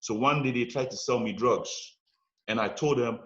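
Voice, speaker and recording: A man casually telling long personal stories, animated and conversational, often checking in with "you know what I'm saying.". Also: mid-range voice, moderate pace. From United States. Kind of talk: casual storytelling chat. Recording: roomy, dull lo-fi podcast sound.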